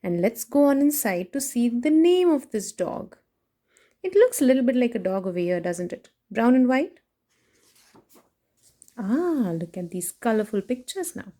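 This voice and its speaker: A woman doing an expressive children's picture book read-aloud, playful in her emphasis, with chatty asides to her young listeners. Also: a little high, measured pace. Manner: animated, sing-song rhyme reading with playful emphasis. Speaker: children's story reader and host.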